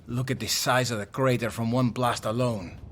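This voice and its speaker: A man's voice: medium pitch, steady tone, normal speed, a bit bright, close to an everyday voice. A man playing a wry, sarcastic rival fighter and grudging ally in an audio drama. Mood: wry, irritated.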